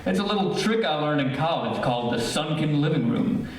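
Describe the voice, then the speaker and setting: Man acting blustering, animated comedy dad. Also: a little high, brisk, lightly acted. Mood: scheming. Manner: theatrical, blustering. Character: exasperated, scheming father and husband in radio comedy. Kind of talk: golden age radio comedy acting on stage. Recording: distant echoey hall with faint noise.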